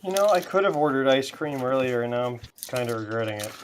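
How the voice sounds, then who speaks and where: A man's voice: medium pitch, expressive, quick pace, crowd noise, low-quality recording. A man making casual chat during a roleplay session.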